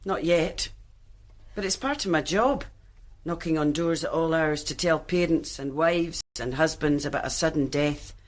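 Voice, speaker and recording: A composed female police detective in a radio play. Her voice sits fairly low for a woman, and she asks each question in a measured, probing way. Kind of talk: radio drama acting.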